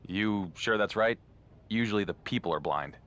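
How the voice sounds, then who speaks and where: A man's voice: fairly low pitch, moderate pace, a bit bright, close to an everyday voice. An actor playing a level-headed, skeptical champion wrestler in a video game cutscene, talking as a dry, incredulous straight man.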